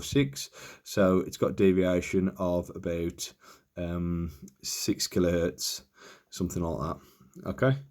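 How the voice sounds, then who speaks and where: Low pitched guy doing a casual demo, kinda unsure, thinking out loud as he goes. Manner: hesitant, tentative, thinking aloud. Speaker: presenter of a radio equipment demonstration. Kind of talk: casual unscripted hands-on demonstration.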